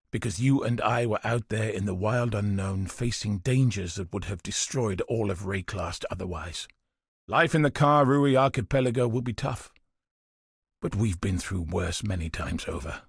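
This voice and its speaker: An actor playing a weary, bitter but steadfast guard commander in a video game, speaking gravely and earnestly with a husky, raspy voice. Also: male voice, on the low side, fairly bright tone, no room sound, clean studio sound, heavily acted. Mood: proud, reassuring. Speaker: game character voice. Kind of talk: dramatic video game voice acting.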